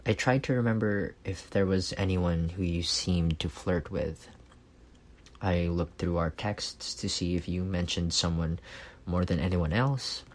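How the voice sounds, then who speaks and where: A man acting a reserved, analytical young man in an intimate ASMR romance roleplay, speaking softly and deliberately with some hesitation. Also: deep voice. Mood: subdued, pensive. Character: reserved, analytical young man confessing love to best friend.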